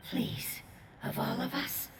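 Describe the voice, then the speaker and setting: A woman voicing a gentle, serene mystical being in a video game, breathy and tenderly consoling. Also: slow pace, natural voice. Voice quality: breathy, whispering. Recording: echoing, distant game reverb.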